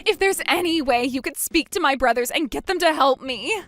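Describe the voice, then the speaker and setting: Woman putting on a shrill pleading sister-in-law. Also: lively intonation, moderate pace, fairly bright tone, faint music behind. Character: desperate pleading sister-in-law, whiny and self-pitying.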